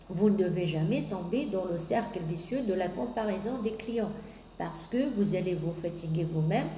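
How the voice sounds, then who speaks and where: A woman business coach giving a sales coaching talk, pausing often on drawn-out fillers and listing points in repetition. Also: mid-range voice, warm tone. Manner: hesitant, many drawn-out "euh" fillers, repetitive lists. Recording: echoey room with faint background noise.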